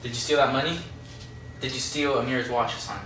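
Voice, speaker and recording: A man's voice: mid-range voice, fairly even intonation, brisk, roomy sound, distant mic, lightly acted. A man playing a commanding, wounded father and master in an amateur readers' theatre piece. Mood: stern. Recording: noisy amateur recording, loud background hum.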